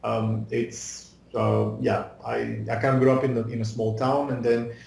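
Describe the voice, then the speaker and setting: This is a man in his thirties, originally from Bulgaria, speaking over a video call. His voice is mellow and sits in a middle pitch. He hesitates often and restarts his sentences, and the small room around him rings with noticeable echo. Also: level tone, unhurried, vocal fry. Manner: hesitant, many fillers and restarts. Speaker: guest, visual effects artist. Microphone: video call webcam mic.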